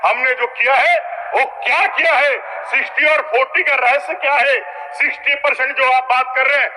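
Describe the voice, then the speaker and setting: A man's voice: very high-pitched, pushed and bright. A man giving an impassioned, accusatory political speech in an assembly, full of rhetorical questions. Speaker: legislator in opposition. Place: large legislative chamber. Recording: reverberant assembly hall sound system.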